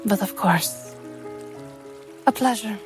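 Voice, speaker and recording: A woman playing in a tabletop roleplaying game, giving measured narration and in-character lines. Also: medium pitch, music in the background.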